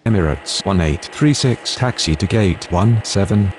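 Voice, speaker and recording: A very low male voice delivers clipped aviation radio phrases in a formal, businesslike way, as a man acting a pilot or controller in a simulator game. There is faint noise behind the voice. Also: expressive, a little slow. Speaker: radio voice of airline pilots and air traffic controllers.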